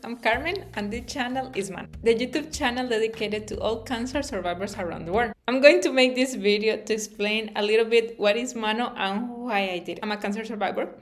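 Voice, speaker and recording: A woman's voice: fairly high pitch, a little fast, hardly any pauses, faint music behind. A woman from Costa Rica with a Costa Rican Spanish accent speaks earnestly and informally as a channel host, with non-native English phrasing. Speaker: YouTube channel host and interviewer. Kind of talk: informal personal talk to camera.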